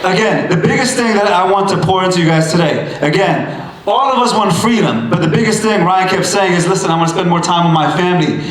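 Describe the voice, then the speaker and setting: A man in his thirties with a high-pitched voice delivers a fired-up motivational speech, hammering lines home through repetition and the odd shout. The sound rings around a very echoey hall. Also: a little fast. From Bangladesh. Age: 30s. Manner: emphatic, punchy repetition, sometimes shouting. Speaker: motivational speaker. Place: event stage in a large hall.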